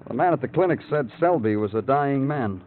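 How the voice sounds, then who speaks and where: An actor playing a dogged, wry police detective in a 1950s radio crime drama, terse and insistent, with moody narration. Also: male voice, medium pitch, expressive, fairly quick, noisy background, close to an everyday voice. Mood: businesslike. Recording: old radio, phone-like band, music.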